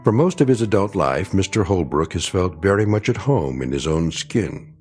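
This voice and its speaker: A man narrating a scripted radio drama in a measured, grave and ominous manner. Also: low-pitched, sing-song, darkish tone, faint music behind.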